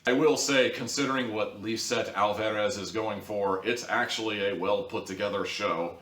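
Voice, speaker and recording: Male reviewer, lowish voice, casual and bluntly sarcastic delivery, recorded off mic in a roomy space. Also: a bit bright, distant mic. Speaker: online audio drama reviewer. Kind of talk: casual opinionated review commentary. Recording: echoey room, off mic.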